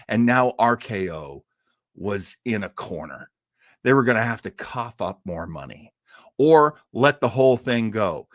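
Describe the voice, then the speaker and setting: Male show host, mid-pitched voice, casual informative storytelling, conversational, emphatic, dramatic pauses, dry recording. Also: a bit bright, no room sound. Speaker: host of a live old-time radio listening show.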